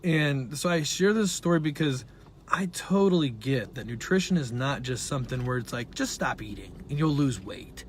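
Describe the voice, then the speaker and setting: A man who is a dietitian and nutrition professor, talking casually and earnestly to his viewers while his voice is raspy from laryngitis. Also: medium pitch, expressive, moderate pace, a little hiss or hum. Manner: earnest, conversational, with frequent fillers. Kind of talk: casual talk to camera.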